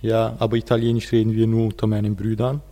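A man's voice: lowish voice, completely flat delivery, fast talker, warm tone, in a large hall. A teenage male student, a native Turkish speaker, talking about himself for school radio. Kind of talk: personal language biography.

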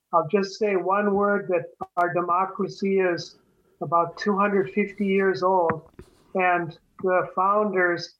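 Man, guest, high voice, slow and measured, dull lo-fi sound. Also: a bit bright. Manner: slow, deliberate, careful. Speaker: guest explaining elections. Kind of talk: measured explanation.

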